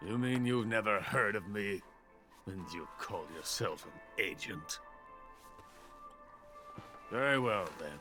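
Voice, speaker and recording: An actor playing a drunk, boastful older Soviet weapons scientist in a video game cutscene. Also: male voice, on the low side, takes it slowly, pauses often, music in the background. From Soviet Union. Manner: pompous, self-important, bitter.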